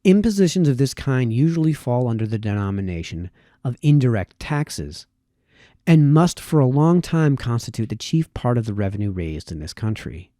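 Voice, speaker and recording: Male reader for formal essays: soft-edged, mellow tone, deliberate phrasing, close dry recording. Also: medium pitch, big pitch swings, no room sound. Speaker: reader, host of a reading series. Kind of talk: reading aloud formal historical essays.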